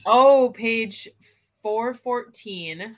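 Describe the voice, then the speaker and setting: Bright-voiced, giggly woman chatting about books. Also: fairly high pitch, very slow. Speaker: co-host of book discussion live show. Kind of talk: casual livestream book chat. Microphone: video call over internet.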